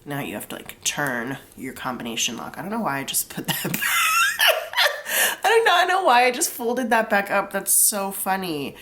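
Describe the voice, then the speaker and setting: A woman's voice: mid-range voice, wildly swooping pitch, moderate pace, fairly bright tone. A young woman with a stuffed-up nose from a cold, chatting casually through a tarot reading and explaining each pull with lots of "like". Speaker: tarot reader.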